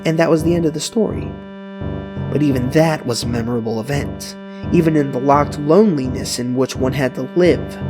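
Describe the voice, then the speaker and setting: A man narrating a dramatized literary audiobook with earnest, dramatic phrasing and deliberate pauses. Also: on the high side. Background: guitar music.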